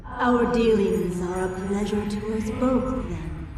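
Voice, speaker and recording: Woman as slow, echoing, haughty goddess. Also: medium pitch, lightly acted. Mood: serene condescension. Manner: measured, formal, archaic. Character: ethereal female ancient deity, serene, haughty, sly. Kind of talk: scripted video game acting. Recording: distant, very echoing, music underneath.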